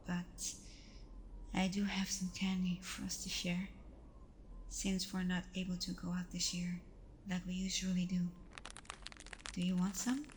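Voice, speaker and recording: A woman acts out a vampire girlfriend in an intimate ASMR roleplay, tender and reassuring with a playful, vulnerable side. Her voice is lowish and gently even, often falling to a breathy whisper. She takes her time, over audible background noise. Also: close to an everyday voice. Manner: soft, breathy, often dropping into whispers, hesitant pauses.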